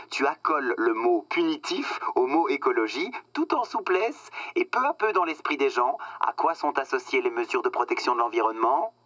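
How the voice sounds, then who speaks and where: Smug corporate boss, bright forceful voice. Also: male voice, medium pitch, dry recording. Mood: pleased, lecturing. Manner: slick, condescending, mock-earnest coaching with emphatic pauses. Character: smug cynical male corporate boss peddling euphemisms. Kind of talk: satirical French radio drama acting.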